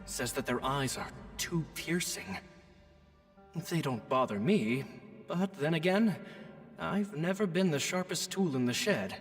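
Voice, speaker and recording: A man's voice: on the high side, lively intonation, a little slow. An actor playing a kindly, self-deprecating magician who reads out his diary in a video game, reflective and theatrical, with music behind him. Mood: worried, reflective. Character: kindly, self-deprecating middle-aged male stage magician, worried and earnest. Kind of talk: expressive voiced diary narration in a video game.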